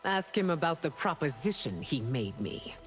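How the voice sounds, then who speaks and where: An actress playing a proud, imperious, ageless empress in a video game, regal and cutting in manner. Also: female voice, low voice, sing-song, moderate pace, faint music behind. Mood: regal, haughty. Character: proud, imperious empress, thousands of years old. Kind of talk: video game character dialogue.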